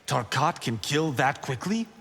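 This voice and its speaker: Arrogant young game fighter: cocky, animated pitch, long reverb. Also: male voice, a little slow, creaky. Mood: confident. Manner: self-assured, cocky. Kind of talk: video game character dialogue. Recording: reverberant game audio, faint noise.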